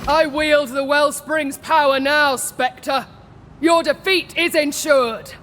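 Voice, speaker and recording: An actress playing a righteous, defiant warrior commander in a video game cutscene, solemn and declamatory. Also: female voice, high voice, steady tone, takes it slowly, fairly bright tone, long reverb tail, a little hiss or hum.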